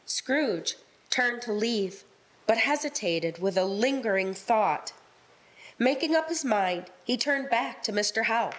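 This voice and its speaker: A woman's voice: a little high, expressive, slow, poor audio. A woman narrating a story from a script in a live radio play.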